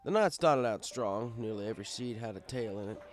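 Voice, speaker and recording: A man's voice: lowish voice, lively intonation, lightly acted. Man as wry fatherly pony bartender, music behind. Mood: wry, settled. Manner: wry, conversational, unhurried. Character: easygoing fatherly male pony bartender telling his tale. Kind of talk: first-person audiobook narration in character.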